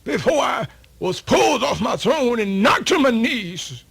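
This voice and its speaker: A man's voice: very high voice, big pitch swings, a little slow, vocal fry, fairly bright tone, husky, exaggerated voice. A man playing an aged, weary yet proud storyteller elder, with a folksy, solemn, oratorical delivery in a radio theatre monologue. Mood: proud, defiant. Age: older. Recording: music playing under the voice.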